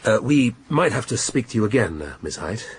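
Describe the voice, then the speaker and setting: An actor playing a middle-aged police chief inspector in a radio drama, halting, awkwardly polite and melancholy. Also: male voice, mid-range voice, sing-song, gravelly, slightly rough, exaggerated voice. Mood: awkward, hesitant.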